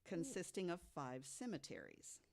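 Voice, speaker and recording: A podcast co-host telling stories from notes to the others in a deliberate way, with emphatic asides. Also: medium pitch, wildly swooping pitch, gravelly, a little mellow. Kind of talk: storytelling from notes to co-hosts.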